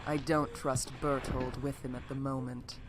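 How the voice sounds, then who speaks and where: Woman as stern enforcer, deep female voice, curt, disdainful, audio drama, noisy recording. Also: flat delivery, normal speed. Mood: cold disdain. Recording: noisy hobby microphone recording.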